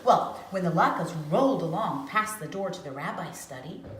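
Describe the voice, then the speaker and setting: A woman reading a children's storybook aloud with dramatic, expressive phrasing, as a storyteller. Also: lowish voice, normal speed. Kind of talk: animated storybook read-aloud. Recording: echoey room with faint noise.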